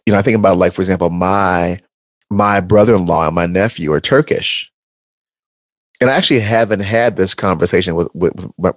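A deep-voiced man on a podcast, loose and enthusiastic, with plenty of slang and shout-outs to the listeners. The sound is dry and close. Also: no room sound. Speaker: podcast co-host. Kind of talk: casual podcast conversation.

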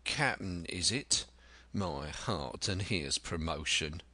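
A man voicing a stern, curt ship's captain who speaks in deliberate, scornful commands in an adventure storybook. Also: deep voice, sharp tone, no echo, exaggerated voice. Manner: clipped orders, deliberate, scornful.

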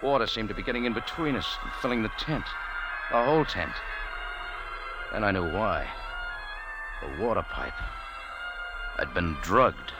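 A hard-boiled café-owner narrator. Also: male voice, lowish voice, expressive, a little slow, long pauses. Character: tough American café owner, wry narrator. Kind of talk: 1940s radio acting. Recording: old radio broadcast with music.

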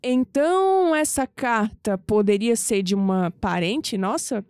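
A woman playing a young, clumsy, curious granddaughter in an audio drama, expressive, with a Brazilian accent. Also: on the high side, takes it slowly, long reverb tail. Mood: excited, curious. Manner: expressive, musing aloud to herself.

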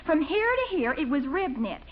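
An actress playing a breezy, teasing young niece in a 1940s radio comedy, chattering in a gushing manner. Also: female voice, high-pitched, lively intonation, normal speed. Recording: tinny, noisy 1940s radio recording.

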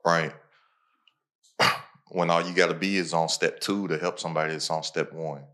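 Low-pitched male podcast host, casual and motivational. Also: steady tone, moderate pace, pushed and bright. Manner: motivational, talks in repeated punchy phrases. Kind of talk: casual conversational podcast talk.

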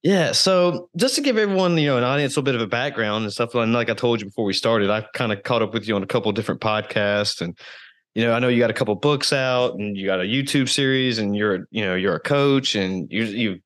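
A man's voice: medium pitch, quick pace, fairly bright tone. A man hosting a podcast, asking questions in a rambling, hesitant way with many "you know"s. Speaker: podcast host. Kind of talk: casual interview.

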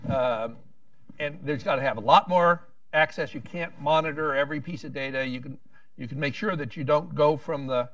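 A man's voice: fairly high pitch, normal speed, a bit bright, dry recording, far from the mic. A man speaking on a policy panel, rambling with frequent restarts and wry asides.

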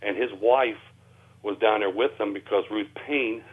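Over a narrow, tinny phone connection, a man speaks in a low voice. He talks in plain, folksy, loose grammar, explaining things as a guest researcher in a conversational radio interview. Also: measured pace, slightly rough. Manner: folksy, ungrammatical plain speech. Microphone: telephone.

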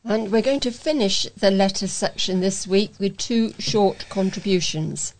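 A woman with a British accent reads printed letters aloud for a local talking newspaper. Her voice sits at a middle pitch, and she delivers the text as a volunteer reader would. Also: a little slow, darkish tone, a little hiss or hum, rough recording.

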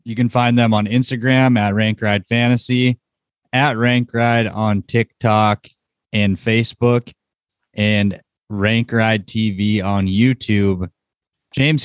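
A man's voice: on the low side, no echo, studio quality. A man hosting a casual sports podcast, chatting informally about results and what is coming up. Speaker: podcast host.

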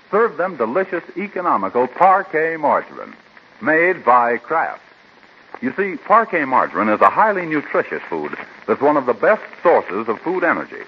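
A man giving a polished, emphatic 1940s radio commercial as an announcer. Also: mid-range voice. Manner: polished, emphatic salesman delivery. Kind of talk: 1940s radio advertising read. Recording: dull, noisy 1940s radio recording.

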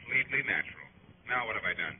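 Cocky, fast wisecracker over the phone. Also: male voice, on the low side, lively intonation, a little hiss or hum. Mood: breezy, flippant. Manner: flippant, breezy, teasing. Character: cocky, wisecracking amateur sleuth on the telephone. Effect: telephone filter. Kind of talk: 1940s radio drama acting. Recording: muffled tinny phone line, old radio.

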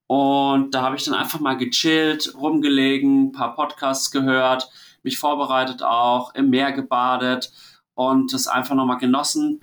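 A man from Germany talks through his travel diary in a loose, rambling way, and he has a cold and sounds worn out. His voice sits at an ordinary middle pitch. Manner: relaxed, rambling, chatty storytelling. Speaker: host of a travel diary podcast. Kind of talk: casual spoken travel diary.

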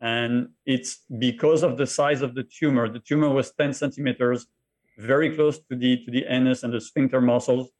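A middle-aged man with a French accent, a podcast guest telling his own story in a halting way that often repeats words. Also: mid-range voice, fairly even intonation, darkish tone. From France. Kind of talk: interview conversation.

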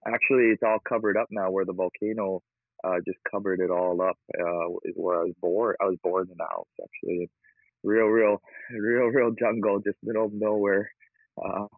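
An upbeat man from Hawaii talks casually about his work, laughing easily. His lowish voice comes through a thin, phone-like line. The sound is dry, with no room around it. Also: normal speed, no echo. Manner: upbeat, laughs often, energetic. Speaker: guest, real estate investor. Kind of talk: casual interview talk.

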